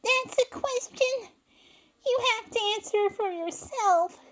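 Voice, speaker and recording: Woman voicing a high-pitched, earnest girl squirrel. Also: fairly bright tone, exaggerated voice, rough recording. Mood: tearful. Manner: nurturing, emotional, drawn-out pleading. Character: gentle, earnest female squirrel, pleading with a friend. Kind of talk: fan fiction character reading.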